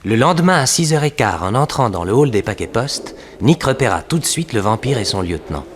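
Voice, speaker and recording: An actor playing a loyal detective's assistant who narrates the case in a measured, matter-of-fact way, in 1960s French radio serial style. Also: male voice, mid-range voice, very animated, noisy background, lightly acted. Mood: measured storytelling. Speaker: narrator and sidekick.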